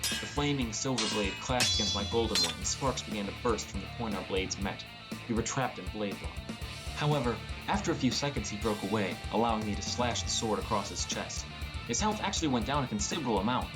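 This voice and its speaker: A man with a fairly low voice narrates a first-person fantasy story for an audiobook. Plucked guitar music plays clearly behind his scripted reading. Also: animated, a little fast. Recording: music bed under voice. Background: prominent plucked guitar music.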